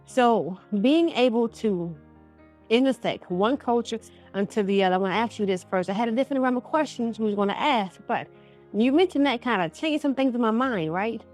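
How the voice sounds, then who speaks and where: A woman's voice: fairly high pitch, expressive, fairly quick, a little mellow. Woman radio host with music behind. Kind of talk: conversational interview.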